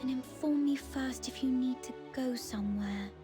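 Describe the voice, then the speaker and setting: A woman's voice: sits high, normal speed, music in the background, close to an everyday voice. A woman voicing a meek, obedient young girl in a video game, speaking in a hesitant, polite manner. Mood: timid, apologetic.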